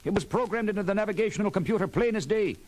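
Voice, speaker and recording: An actor playing an excitable, easily indignant starship engineer in a 1970s audio drama. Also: male voice, high-pitched, racing, a little hiss or hum. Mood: wry. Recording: vintage 1970s record, loud music behind.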